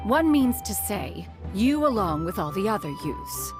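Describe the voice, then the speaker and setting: A woman's voice: medium pitch, very animated, takes it slowly. An actress voicing a proud, aloof ancient female immortal who speaks formally and calls herself "one". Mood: haughty. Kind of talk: game dialogue. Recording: soft game music behind.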